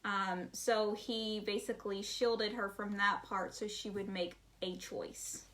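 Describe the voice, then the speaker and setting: A woman's voice: mid-range voice, flat delivery, slow, fairly bright tone, slight background noise. A woman co-hosting a discussion, talking casually and conversationally as she offers her take. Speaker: co-host.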